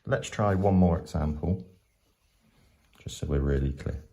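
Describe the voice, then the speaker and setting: A man's voice: extremely low pitch, unhurried, dark tone. A man teaching maths step by step in a patient, explanatory way, going back over his points.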